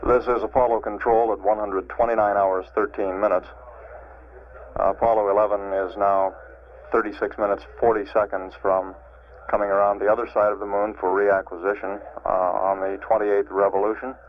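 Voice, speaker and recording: An American man giving measured official spaceflight status commentary as a mission control announcer, matter-of-fact and halting, over an archival radio transmission. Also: on the low side, flat delivery. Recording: narrow-band, phone-like archival radio transmission.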